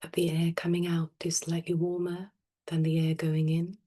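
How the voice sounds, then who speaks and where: Low, mellow woman guiding meditation. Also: completely flat delivery. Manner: soft, soothing, unhurried with long pauses. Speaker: meditation teacher. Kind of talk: guided meditation.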